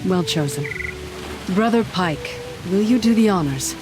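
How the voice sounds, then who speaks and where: A woman's voice: fairly low pitch, expressive, unhurried. An actress playing a courteous, regal female admiral in a video game cutscene. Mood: gracious. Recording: music behind the voice.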